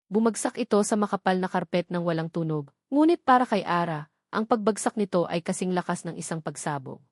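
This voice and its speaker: A woman's voice: medium pitch, a little mellow, dry recording, clean studio sound. A woman reading a dramatic scripted story as a storyteller.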